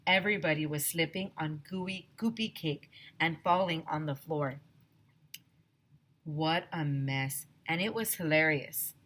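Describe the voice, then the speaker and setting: A woman teacher reading a children's story aloud expressively, with an animated, emphatic manner, addressing young listeners directly. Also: sits low, measured pace.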